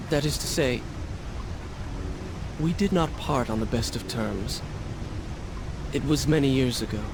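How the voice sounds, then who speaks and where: An actor playing a proud, regretful young prince, speaking formally and earnestly in a dramatic video game. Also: male voice, mid-range voice, close to an everyday voice. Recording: noisy game capture with sound effects.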